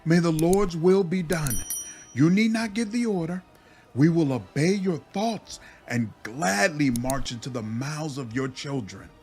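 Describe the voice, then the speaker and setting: A man's voice: fairly high pitch, lively intonation, measured pace, darkish tone, faint music behind, pitched above the performer's own voice. A man voicing a fawning, devout servant of the swarm in a fantasy game, gushing and reverent. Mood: adoring.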